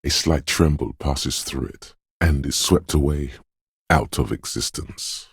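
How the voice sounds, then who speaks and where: Brooding inner voice, rock-bottom pitch, very breathy rasp, slow, solemn, ominous, poetic game narration. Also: male voice, dry recording, clean studio sound, heavily acted. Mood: melancholy, dreamlike. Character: brooding, dreamlike inner voice of a troubled man's intuition. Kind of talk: scripted video game narration, second person.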